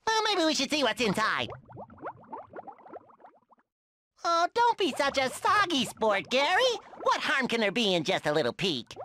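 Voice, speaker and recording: A man's voice: extremely high pitch, huge pitch swings, normal speed, sharp tone, slight background noise, exaggerated voice. A man voicing an eager, childlike, cheerful sea sponge for a cartoon game, earnest, exclamatory and over-polite. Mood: curious, cheerful.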